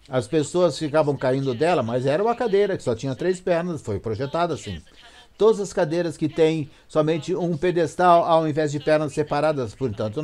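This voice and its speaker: A man with a Brazilian Portuguese accent interpreting an academic lecture live, in halting phrases with self-corrections. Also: fairly high pitch, a little mellow.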